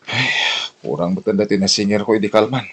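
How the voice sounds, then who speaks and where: A man's voice: fairly low pitch, quick pace, breathy edge. A man acting a hard-pressed working husband and polite bill collector in an Ilocano radio drama, speaking earnestly and conversationally. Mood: weary.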